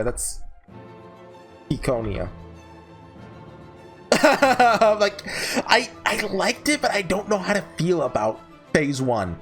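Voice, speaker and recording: This is a man with a fairly high-set voice and very lively intonation. He speaks like a YouTube host giving casual commentary, rambling and opinionated, with light music playing behind him. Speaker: YouTuber host ranking visual novels. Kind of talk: casual off-the-cuff review commentary.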